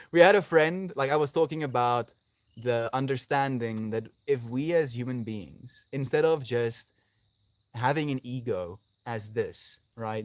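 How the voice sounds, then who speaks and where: A man's voice: mid-range voice, dry recording. Male livestream host, effusive and affectionate, casual delivery, close dry sound. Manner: effusive, affectionate, calling listeners "friend". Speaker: live streamer, host. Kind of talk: casual livestream chat, responding to viewer comments.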